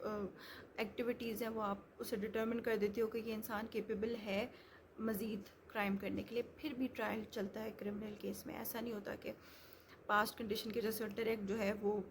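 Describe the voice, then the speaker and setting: Woman explaining law over background noise. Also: on the high side. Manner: conversational, explains with examples, many fillers. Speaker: law lecturer.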